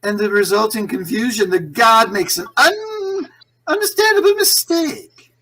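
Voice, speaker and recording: Husky, swooping, theatrical crime boss performed on stage. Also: medium pitch, expressive, measured pace, sharp tone, exaggerated voice. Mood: sly, amused. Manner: suave, gloating, condescending, frequent chuckling. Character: Mister Carroll, scheming imprisoned male crime boss, theatrical and condescending. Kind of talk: 1940s radio acting.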